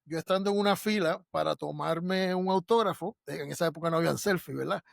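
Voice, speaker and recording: A man's voice: on the high side, fast talker, vocal fry, no echo, clean studio sound. A Puerto Rican man in his fifties, an interview guest telling personal stories conversationally and voicing the dialogue he quotes. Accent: Puerto Rican Spanish. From Puerto Rico. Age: 50s. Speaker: interview guest, martial arts master.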